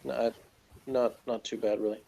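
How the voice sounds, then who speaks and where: Male reviewer, mid pitch, wry and meandering in casual discussion, slightly dull recording. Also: level tone, moderate pace, vocal fry, warm tone.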